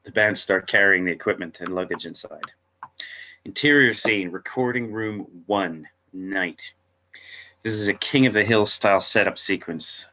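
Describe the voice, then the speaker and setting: Male narrator, lowish forceful voice, stage directions, script read-through, dull lo-fi audio. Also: normal speed, a little hiss or hum.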